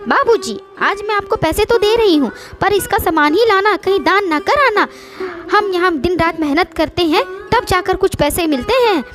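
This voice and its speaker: A woman's voice: very high-pitched, lively intonation, normal speed, a bit bright, soft background music, voice pushed up. A woman voicing a scornful, bossy young daughter-in-law in a moral story, scolding and sarcastic. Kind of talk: Hindi moral story voice acting.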